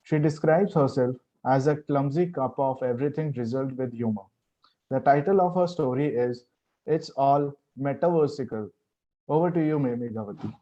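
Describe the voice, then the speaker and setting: A man's voice: medium pitch, normal speed, mellow. A man with an Indian accent casually hosting a contest and introducing participants. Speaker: contest host. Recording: dull lo-fi audio.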